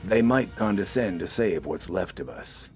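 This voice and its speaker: A man voicing a gruff, scornful army corporal in a science fiction story, battle-weary and heavily ironic. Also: deep voice, expressive, normal speed, darkish tone, soft background music. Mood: grim. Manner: sardonic, heavily ironic, barking. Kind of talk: dramatized science fiction audiobook dialogue.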